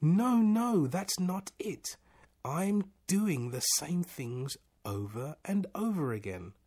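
A bewildered, desperate storybook man, played by a male reader, pushing his voice high and swinging it widely. He sounds husky and strained, halting yet insistent. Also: very animated, darkish tone, exaggerated voice. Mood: flustered. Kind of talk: storybook character reading.

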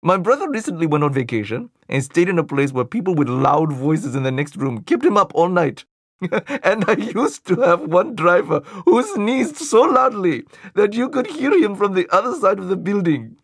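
A man's voice: high-pitched, sing-song, normal speed, no room sound, studio quality, heavily acted. A man voicing an older male Buddhist teacher in an audiobook dialogue, earnest and questioning, thinking aloud as he searches for meaning. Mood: amused. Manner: questioning, searching, thoughtful delivery.

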